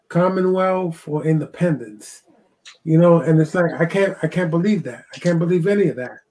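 Older man in his sixties, co-host on a headset video call, passionate, slightly higher voice. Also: warm tone, poor audio. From Puerto Rico. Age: 60s. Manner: passionate, talks with his hands, many "you know"s. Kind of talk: casual video call chat. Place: home room at a desk.

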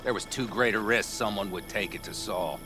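An actor playing an informal, ribbing veteran of a nomad crew in a video game. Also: male voice, on the low side, a little fast, music in the background, close to an everyday voice. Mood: matter-of-fact. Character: teasing veteran nomad man, loyal crew member. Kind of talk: video game cutscene acting.

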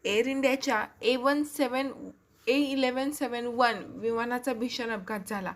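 High-pitched woman presenter. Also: unhurried. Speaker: YouTube presenter explaining news. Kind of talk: explanatory news narration to viewers.

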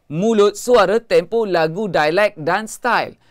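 A Malaysian man in his thirties leads a streamed Quran lesson from a small studio. His voice sits high for a man and sounds smooth and resonant. He speaks on a lapel mic, emphatic and animated, and repeats each key line. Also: a little slow. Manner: emphatic, repeats phrases, gestures a lot. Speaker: Quran recitation coach. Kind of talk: live-streamed religious lesson. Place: studio before a green-screen hedge backdrop.

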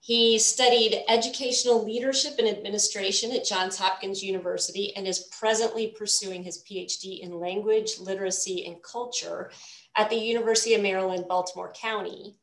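A woman's voice: medium pitch, steady tone, brisk. Female host on a video call, friendly, roomy echo. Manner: friendly, reads the introduction with restarts. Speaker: interview host, moderator. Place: room, indoors.

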